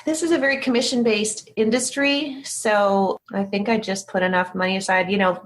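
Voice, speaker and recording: A woman's voice: medium pitch, fairly even intonation, normal speed. A woman being interviewed, talking casually in anecdotes with a candid, informal and self-deprecating manner. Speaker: interview guest, speaker agency founder.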